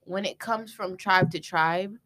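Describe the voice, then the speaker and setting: A woman's voice: lowish voice, a little slow, fairly bright tone, poor audio. A young woman from Africa, a guest in a casual podcast discussion, who earnestly explains her view with examples.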